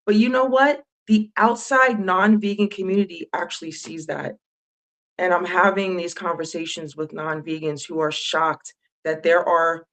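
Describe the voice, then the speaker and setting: A woman speaking as a panelist on an online call, talking into a phone from the floor of an animal rescue, with a loud, bold voice and candid, tearful manner. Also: medium pitch, low-quality recording. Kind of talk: emotional panel talk. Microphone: phone clipped to a dog crate.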